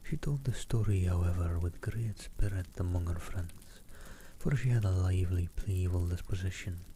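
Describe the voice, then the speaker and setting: A man from the Netherlands reading a novel aloud in a soft, hushed ASMR style on a close microphone. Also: sits low, normal speed, a little hiss or hum. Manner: soft, hushed, often whispered.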